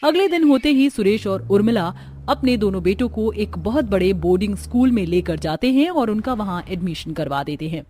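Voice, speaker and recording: Woman, narrator, medium pitch, scripted cartoon storytelling. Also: animated, normal speed, warm tone, slight background noise.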